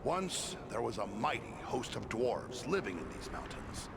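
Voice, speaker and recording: A man's voice: medium pitch, very animated, unhurried, very noisy. A man playing a proud dwarf warrior in a fantasy game, telling his tale wistfully. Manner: wistful, storytelling. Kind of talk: fantasy video game cutscene acting. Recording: loud music behind the voice.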